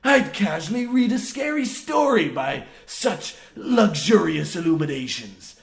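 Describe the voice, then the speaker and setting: Slow-talking, half-mad old coal miner. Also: male voice, high voice, sing-song, vocal fry, exaggerated voice. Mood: scornful. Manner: ominous, rambling theatrical speech with archaic rustic phrasing. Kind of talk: parody of old-time radio horror drama. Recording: roomy, echoing sound.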